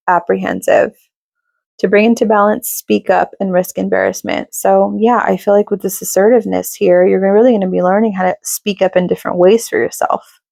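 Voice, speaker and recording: Casual woman tarot reader, slightly raspy and gravelly, chatty with lots of fillers, dry close recording. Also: medium pitch, no room sound. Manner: chatty, filler-heavy, reassuring. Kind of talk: casual tarot reading to camera.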